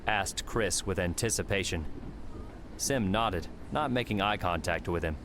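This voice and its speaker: A man reading scripted fiction as an audiobook narrator, in a measured storytelling manner. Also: low-pitched, fairly bright tone, a little hiss or hum.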